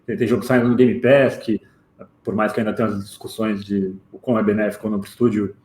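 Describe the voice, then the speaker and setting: A man's voice: lowish voice, flat delivery, fast, darkish tone. A man with a Brazilian accent interviewing casually, feeling his way through questions with frequent check-in tags. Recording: echoey room with faint noise.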